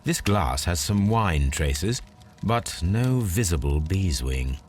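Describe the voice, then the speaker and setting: An actor playing a composed, analytical Victorian English gentleman detective in a video game, musing precisely and deliberately. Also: deep voice, lively intonation, over music.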